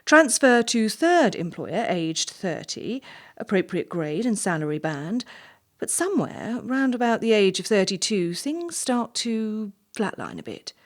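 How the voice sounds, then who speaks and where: An actress playing a clinical, pedantic female job interviewer in a stage play, with a clipped, probing and deliberate manner. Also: mid-range voice, sing-song. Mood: probing, condescending.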